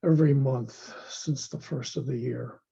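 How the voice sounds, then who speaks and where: A man, worn out from illness, speaks in a slow, halting way with a raspy voice and many pauses and restarts. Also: mid-range voice, fairly even intonation, warm tone. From United States. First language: English. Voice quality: raspy, gravelly. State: worn out from illness, low energy. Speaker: language learner. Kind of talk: self-recorded video intro. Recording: dull lo-fi sound.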